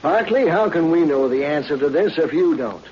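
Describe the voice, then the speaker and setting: An actor playing a businesslike police lieutenant in 1940s radio drama style. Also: male voice, on the high side, animated, normal speed, lightly acted. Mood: puzzled, businesslike. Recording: muffled, noisy old-time radio recording.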